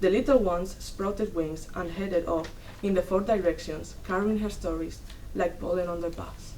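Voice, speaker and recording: A young woman giving a dramatic reading of a story, in measured, deliberate short phrases. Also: lowish voice, steady tone, mellow. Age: young adult. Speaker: student performer in a dramatic reading. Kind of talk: dramatic storytelling read from a script. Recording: distant mic, noisy room.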